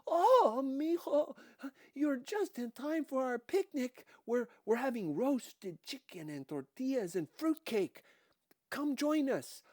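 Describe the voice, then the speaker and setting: A man voicing a bossy, gushing, motherly older woman in a storybook, speaking loudly and effusively. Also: very high-pitched, sing-song, slow, dry recording, clean studio sound, voice pushed up, full character voice. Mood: gushing, welcoming. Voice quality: loud. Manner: effusive and commanding.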